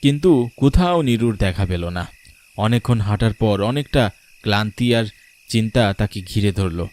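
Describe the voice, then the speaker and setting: A man's voice: fairly low pitch, expressive, normal speed, a little mellow. A man narrating a scripted cartoon folk tale as a dramatic storyteller. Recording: music behind the voice.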